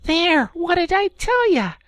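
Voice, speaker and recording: A reader voicing an excitable, impatient male water rat with a shrill, bossy, exclamatory manner, in a storybook reading. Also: takes it slowly, no room sound, exaggerated voice. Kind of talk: storybook read-aloud.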